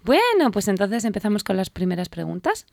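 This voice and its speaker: A woman hosting a bilingual radio morning show, lively and teasing with her co-host and her guest. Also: mid-range voice, fast, creaky, no room sound, studio quality. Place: radio studio.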